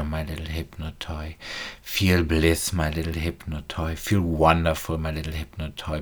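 Male hypnotist, very low husky voice, flat delivery, slow soft repetition with whispers. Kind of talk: guided hypnosis recording.

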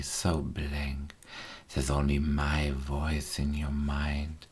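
A man whispering slow, soothing, repetitive suggestions as a hypnotist in an ASMR hypnosis recording. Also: rumbling low. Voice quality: whispered, breathy.

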